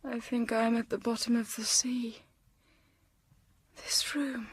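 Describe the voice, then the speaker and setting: An actress playing an anguished, feverish young English governess in a literary audio drama, with breathy, halting, impassioned delivery. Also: female voice, fairly high pitch, level tone. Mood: dazed, fragile.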